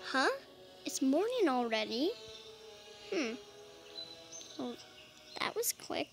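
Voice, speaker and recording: Woman, high creaky voice, casual solo game roleplay, murmured little hums between remarks. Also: big pitch swings, slow, faint music behind. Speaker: gameplay video player. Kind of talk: casual solo roleplay as a dragon while playing.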